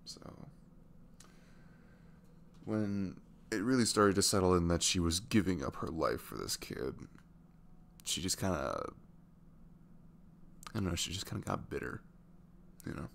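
A man playing a sweet, weary young single dad in an intimate ASMR roleplay, confiding in a halting, soft-spoken way with trailing fillers and a deep gravelly voice. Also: expressive, a little hiss or hum, exaggerated voice. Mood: bitter, subdued. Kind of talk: intimate ASMR roleplay, hesitant conversational delivery.

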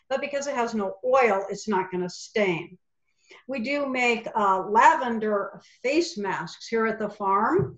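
A middle-aged woman who owns a lavender farm, chatting enthusiastically through a slide presentation. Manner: enthusiastic, chatty product pitch. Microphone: webcam video call. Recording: echoey, muffled video call audio.

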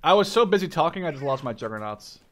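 A man's voice: on the high side, sing-song, fast talker, a bit bright. A man in his twenties giving casual, rambling gameplay commentary, often breaking off mid-sentence and swearing. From Canada or the Netherlands. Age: 20s. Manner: rambling, self-interrupting, sweary. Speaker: gaming video creator.

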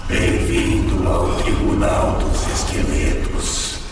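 A man's voice: on the low side, level tone. Cavernous, echoing undead judge-king. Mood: ominous. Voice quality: cadaverous, ghostly. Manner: slow, solemn pronouncements. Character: undead dwarf skeleton judge-king, stern, condemning, menacing. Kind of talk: fantasy audio drama acting. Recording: cavernous echoing reverb, distant, loud music.

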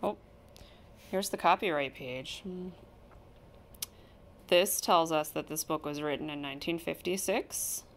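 A woman reading a children's picture book aloud, with expressive, deliberate storytelling and stressed key words. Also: low-pitched, slight background noise. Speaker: storybook reader.

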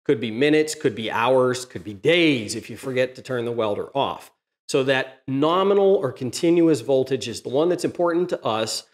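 A man's voice: mid-range voice, expressive, moderate pace. A middle-aged man hosting a workshop channel, explaining a welder modification to the camera in a plain, methodical way, recorded in his home workshop. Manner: methodical, plain-spoken, technical. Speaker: DIY welding channel host. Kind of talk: explanatory tutorial talk to camera.

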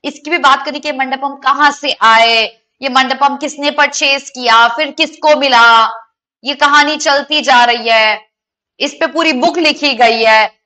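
A woman's voice: high-pitched, rapid-fire, sharp tone. A young woman with an Indian accent teaching an online exam lesson, explaining options to students directly with emphatic questions and switching between two languages. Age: young adult. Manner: mixes Hindi and English, addressing students directly.

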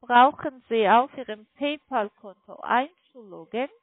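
A woman with a high voice and a foreign accent works as a call centre agent. She speaks slowly and haltingly, guiding a customer through steps. The sound is a dry, tinny phone line. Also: dry recording. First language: not German. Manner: halting, non-native phrasing. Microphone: telephone.

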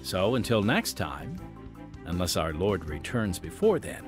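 A man's voice: sits low, sing-song, unhurried, over music. An American man hosting a podcast with polished, theatrical scripted narration, speaking directly to the listener as a friend. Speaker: podcast host and announcer.

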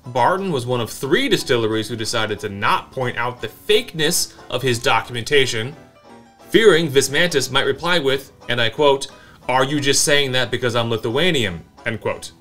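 An American man in his thirties co-hosting a show, narrating comic history and bantering in a dry, deadpan manner. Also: mid-range voice, normal speed. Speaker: co-host of a whiskey review show. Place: small indoor home-style bar set. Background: background music with guitar.

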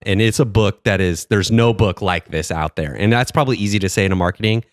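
Male guest, lower voice, earnest and emphatic, recently over a cold. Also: quick pace, no echo, studio quality. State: just getting over a cold. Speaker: podcast guest. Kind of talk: casual podcast chat.

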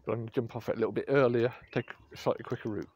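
A man's voice: medium pitch, steady tone, racing, lo-fi sound, heavy background noise. A middle-aged English man narrating a casual, rambling vlog into his phone in an informal, wry way. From England. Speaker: vlogger. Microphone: phone at arm's length.

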